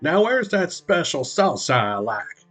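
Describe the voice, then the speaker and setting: A man voicing a huge, bossy mother Cyclops who growls and scolds loudly and slowly. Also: fairly high pitch, sing-song, faint music behind, pitched above the performer's own voice, heavily acted. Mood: scolding. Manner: growling, loud, slow and scolding.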